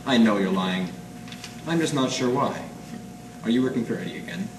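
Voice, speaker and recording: A hard-boiled 1940s radio detective played by a man, low-pitched and world-weary, trading in wry, clipped slang. He is picked up from a distance in an echoey room, with clear noise over the stage performance. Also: lively intonation, moderate pace. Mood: accusing, bitter. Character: cynical, world-weary small-time noir detective man. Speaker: amateur stage radio-drama actor. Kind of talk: 1940s radio noir acting. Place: live performance in an art gallery.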